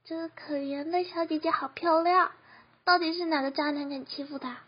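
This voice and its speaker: A woman's voice: high-pitched, steady tone, moderate pace, natural voice. A teenage girl playing an eager, obedient young attendant to a deity in an amateur radio drama. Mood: admiring, gentle. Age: teens. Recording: muffled lo-fi recording.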